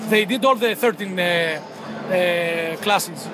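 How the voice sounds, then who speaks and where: A man's voice: high-pitched, slow, music in the background. A man in his thirties from Greece, talking in a casual interview outdoors and hesitating with many fillers and restarts. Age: 30s. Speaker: interviewee, football fan club member.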